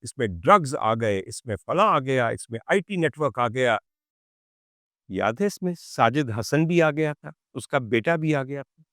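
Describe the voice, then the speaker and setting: Man delivering indignant, animated spoken commentary. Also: mid-range voice, dry recording, studio quality. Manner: rhetorical questions, dramatic pauses and repetition for emphasis; indignant, sarcastic. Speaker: commentary show host. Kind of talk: opinionated monologue commentary addressed to viewers.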